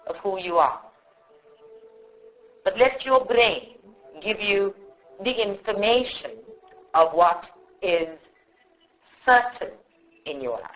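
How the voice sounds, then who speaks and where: Very slow woman guiding meditation. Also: medium pitch. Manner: slow, measured, with long pauses between short phrases. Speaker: meditation guide. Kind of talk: guided meditation. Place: live group meditation session. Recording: dull lo-fi live recording.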